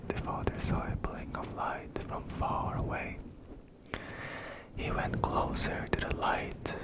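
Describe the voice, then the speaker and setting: A person whispering a bedtime story in ASMR style, with a breathy, unvoiced voice and a deliberate manner that softly repeats phrases. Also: low-quality recording.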